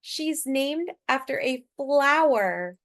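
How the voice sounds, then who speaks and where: A teasing little schoolgirl from a picture book, acted by a woman reader. She sounds high and mean, with a taunting sing-song that swings up and down. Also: lively intonation, slow pace, fairly bright tone, full character voice. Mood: mocking, teasing.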